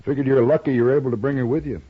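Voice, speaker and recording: An American man playing a plainspoken, gentle, sorrowful rancher in a 1950s radio western, speaking politely and humbly with a husky voice. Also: medium pitch, fairly even intonation, very fast, natural voice. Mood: gentle, sympathetic. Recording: dull, muffled vintage radio recording.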